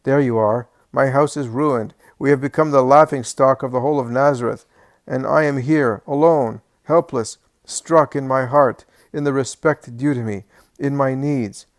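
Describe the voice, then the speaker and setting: A man's voice: fairly even intonation, normal speed, dry recording, natural voice. A man voicing a sick old man in a senile fit of temper, cursing, accusing and complaining in a querulous voice. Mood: angry, self-pitying. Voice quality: shrill and complaining.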